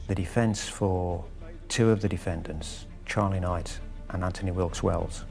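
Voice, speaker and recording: A man's voice: sits low, fairly even intonation, measured pace, gravelly, darkish tone, soft background music. A man giving an explanatory interview for a crime documentary. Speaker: expert interviewee.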